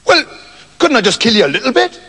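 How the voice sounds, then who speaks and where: A man's voice: very high voice, sing-song, fast talker, pushed and bright, breathy edge, big-room sound, pitched above the performer's own voice, heavily acted. A man voicing a boastful, rude, cheerfully violent male hero with a cocky, bossy way of talking, in a storybook reading.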